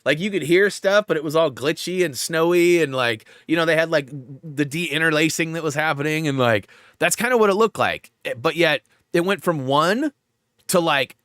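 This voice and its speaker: A man's voice: fairly high pitch, moderate pace, fairly bright tone, dry recording, clean studio sound. A man hosting a gaming livestream in a rambling, casual way, full of fillers, and a bit stuffed up. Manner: rambling, filler-heavy, lots of "like". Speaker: livestream host.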